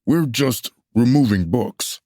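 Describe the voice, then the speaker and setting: A man's voice: mid-range voice, lively intonation, slow pace, warm tone, no room sound, clean studio sound. A man in a puppet show playing an officious, unbothered messenger, dismissive and matter-of-fact. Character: unbothered, officious male messenger collecting banned books. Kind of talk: musical puppet show stage acting.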